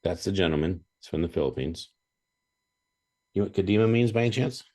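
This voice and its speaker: A man hosting a podcast, chatting casually and telling animated stories with chuckles and frequent throat-clearing. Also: deep voice, fast. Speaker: podcast host. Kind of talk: casual interview chat.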